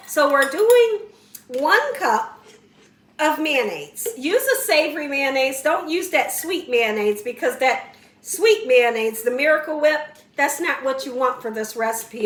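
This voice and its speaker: Bright-voiced, slightly hoarse woman cooking host. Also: high-pitched, roomy sound, recorded from a distance. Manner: chatty, encouraging, talks straight to viewers. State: slightly hoarse, losing her voice. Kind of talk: casual home cooking tutorial. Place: home kitchen.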